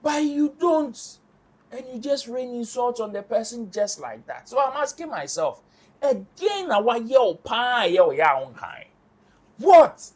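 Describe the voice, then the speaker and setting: A man's voice: very high-pitched, sing-song, measured pace, slightly rough. A man with a Ghanaian accent, arguing passionately as a panelist on an entertainment talk show, firing off rhetorical questions. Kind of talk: animated studio discussion.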